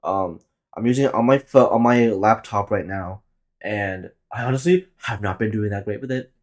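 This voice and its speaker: A man's voice: fairly low pitch, normal speed, darkish tone. A man about to turn twenty, hosting a solo podcast and rambling loosely with plenty of swearing and laughing at his own jokes. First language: Tagalog. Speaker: podcast host. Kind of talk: casual solo podcast monologue.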